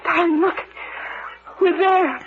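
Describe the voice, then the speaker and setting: An actress playing a frightened, weary young bride, plaintive and clinging, in the melodramatic style of 1940s radio thrillers. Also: female voice, very high voice, takes it slowly. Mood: weary, uneasy. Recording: noisy lo-fi vintage radio recording.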